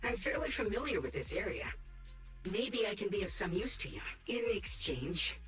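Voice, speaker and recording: A man's voice: sits high, very animated, moderate pace, a bit bright, roomy sound, faint music behind. A man voicing a polite, knowing little robot companion in a video game, courteous and formal in delivery.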